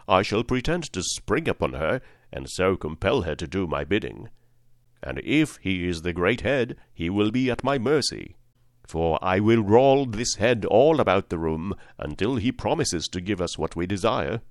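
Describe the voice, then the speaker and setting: A man plays a cowardly storybook lion, earnest and plain-spoken. He declares his lines emphatically, with a lively swing in pitch, in a close, dry sound. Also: moderate pace, no echo. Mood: bold, resolute.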